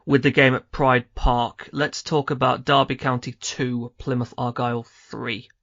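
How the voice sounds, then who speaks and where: A man hosting a football podcast in brisk, upbeat presenter style, his voice a little croaky, speaking over a call. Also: mid-range voice, flat delivery, fairly bright tone, no room sound. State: slightly croaky voice. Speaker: podcast host. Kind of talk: casual football podcast chat. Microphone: remote call microphone. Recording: muffled dry call audio.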